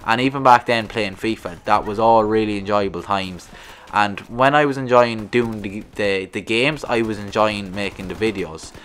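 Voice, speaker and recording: A man's voice: on the low side, normal speed, fairly bright tone, a little hiss or hum. A man in his twenties with a thick rural Irish accent, talking casually and rambling to camera as a gaming channel host. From Ireland. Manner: rambling, restarts, frequent "like I said".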